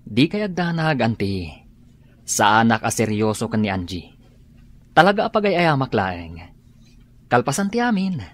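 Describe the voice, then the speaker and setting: A man's voice: medium pitch, sing-song, normal speed, pauses often, no room sound, lightly acted. A man playing an evasive young man who shrugs off a romance, speaking hesitantly. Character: young man brushing off his fling, evasive. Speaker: Frank.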